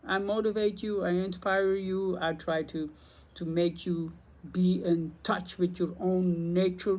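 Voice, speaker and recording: A man from Belgium, a native Dutch speaker with a Belgian Dutch accent, chatting to camera as a spiritual teacher in an excitable, rambling way. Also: high-pitched. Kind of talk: casual talk to camera.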